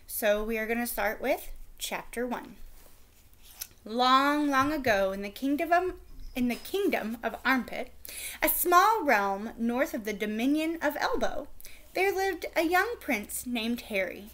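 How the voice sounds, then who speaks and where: A woman teacher reading a chapter book aloud to children with expressive, animated storytelling. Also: a little high, unhurried.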